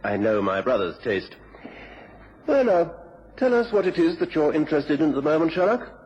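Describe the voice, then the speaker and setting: An actor playing a genial, hospitable British gentleman, the detective's brother, in a radio drama. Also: on the high side, sing-song, normal speed. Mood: jovial, welcoming. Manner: jovial, clubbable. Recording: dull radio recording, club chatter behind.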